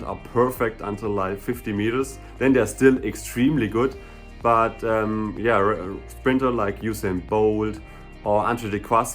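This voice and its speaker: A young man from Germany with a German accent, a sprinter and hurdler answering viewer questions in a relaxed, chatty way, full of "you know" and "like". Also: fairly low pitch. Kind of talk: casual Q&A vlog.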